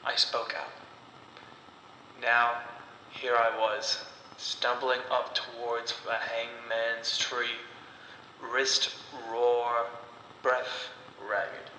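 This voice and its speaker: A man voicing a bitter, defiant condemned woman in a horror story, speaking gravely with deliberate pauses. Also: mid-range voice, completely flat delivery, pushed and bright. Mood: grim, defiant. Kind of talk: slow dramatic horror storytelling. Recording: echoey, long reverb, background noise.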